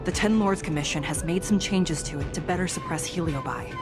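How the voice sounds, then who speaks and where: A woman voicing a formal, composed and solemn official in an anime game, speaking in a measured way. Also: lowish voice, lightly acted. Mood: formal, instructive. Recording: loud game music behind.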